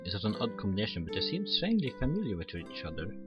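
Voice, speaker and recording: A man's voice: fairly low pitch, wildly swooping pitch, very fast, muffled recording. A man playing a game on his channel, reading the story text aloud and adding casual asides. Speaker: let's player. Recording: roomy sound with faint music behind.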